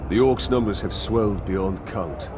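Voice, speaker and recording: A man's voice: measured pace, lightly acted. A man playing a resolute, weary ranger in a fantasy game, speaking in a serious, determined, understated way. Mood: grim resolve. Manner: serious, low-key, determined. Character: stern weary human ranger, resolute warrior. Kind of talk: cinematic fantasy game acting. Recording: loud ambient noise and rumble behind.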